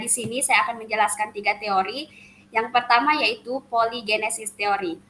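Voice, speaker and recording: A young woman presents her class material in an echoey room, her bright, fairly high voice pausing often on hesitant fillers. She reads steadily from her notes. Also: slight background noise. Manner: hesitant, frequent "eh" fillers and restarts. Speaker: student presenter.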